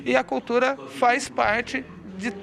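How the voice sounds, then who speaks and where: A man's voice: very high-pitched, fairly even intonation, takes it slowly, creaky. A Brazilian man giving a news interview as a participant at a cultural event. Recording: noisy crowd behind him.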